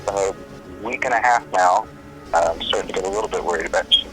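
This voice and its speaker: An earnest student son played by a young man leaves a casual voicemail in an audio drama. His voice is very low, and he talks in a hesitant, understated way over music. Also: fast talker, fairly bright tone, rough recording. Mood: concerned. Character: earnest college-student son, mildly concerned.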